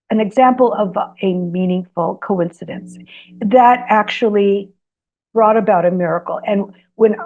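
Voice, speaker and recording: A woman whose first language is Greek tells personal stories as a guest in an interview. She pauses often with ums, then grows animated as the stories build. The recording sounds slightly dull and lo-fi. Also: medium pitch. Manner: hesitant, lots of ums, animated when telling anecdotes. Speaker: guest author. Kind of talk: storytelling in an interview.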